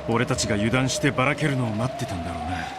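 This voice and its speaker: A man's voice: medium pitch, animated, racing, heavy background noise, natural voice. An actor playing a grave, shaken man in a dramatic Japanese visual novel. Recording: loud music over game audio.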